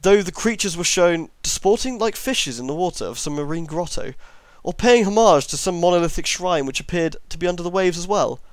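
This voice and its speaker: A man's voice: high-pitched, lively intonation, brisk, hardly any pauses, clean tone, no echo. A man narrating a horror story as an amateur reader, now and then stumbling over long words and correcting himself.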